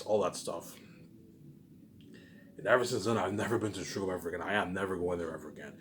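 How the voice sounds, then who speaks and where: Low-voiced man rambling over background noise. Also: a bit bright. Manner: repetitive, halting, often swearing, tags statements with "obviously". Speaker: solo video host. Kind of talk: rambling casual monologue.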